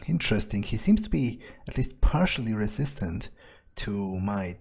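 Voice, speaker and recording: A man with a husky voice doing in-character commentary on a game, musing aloud to himself. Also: on the low side, sing-song, moderate pace, darkish tone. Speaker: gaming video maker.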